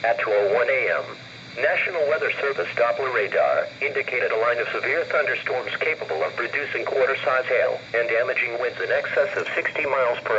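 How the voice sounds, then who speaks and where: Male synthetic weather-radio announcer, mid pitch, formal and impersonal, reading warnings through a dull, noisy broadcast. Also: fairly bright tone. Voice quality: synthetic text-to-speech voice. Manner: formal, impersonal, evenly measured. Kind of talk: scripted emergency weather warning readout.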